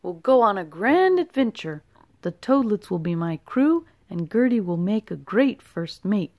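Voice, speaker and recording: A woman puts on a salty old sea captain for a children's storybook. He is a blustery male sailor who speaks loudly and with emphasis. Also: mid-range voice, very animated, measured pace, no room sound. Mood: decided.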